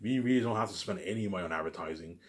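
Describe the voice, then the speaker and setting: A man talks informally to his audience in a slightly low voice. He moves at a very quick clip, circling back on the same phrases and restarting them. His delivery is emphatic, rambling and peppered with swearing. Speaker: vlogger. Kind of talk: rambling informal monologue to camera.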